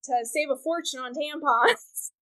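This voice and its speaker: Woman, podcast guest, from Wyoming, fairly high voice, animated, frank storyteller, casual chat. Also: measured pace, low-quality recording. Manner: animated, candid, comic storyteller. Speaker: podcast guest, reproductive rights advocate.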